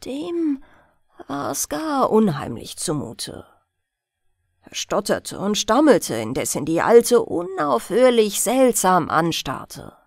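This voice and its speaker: A woman narrates a bedtime fairy tale as a scripted audiobook. Her delivery is slow and very melodic, with a slightly creaky edge. She tells it deliberately and expressively. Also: mid-range voice, wildly swooping pitch, no room sound, clean studio sound.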